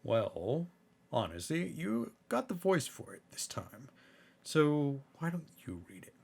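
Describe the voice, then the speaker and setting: A man's voice: fairly high pitch, huge pitch swings, slow pace, gravelly, darkish tone, breathy edge, exaggerated voice. A man playing a chatty, fussy hellhound brother in skit banter, explaining and coaxing in a hesitant way. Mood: enthusiastic, coaxing.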